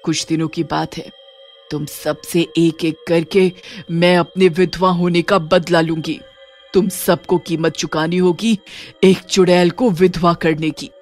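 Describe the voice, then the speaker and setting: A woman's voice: on the low side, steady tone, a little mellow, close to an everyday voice. A woman acting as vengeful widowed witch, grieving, menacing, dramatic horror-story delivery, music underneath. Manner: dramatic, threatening, drawn-out vows.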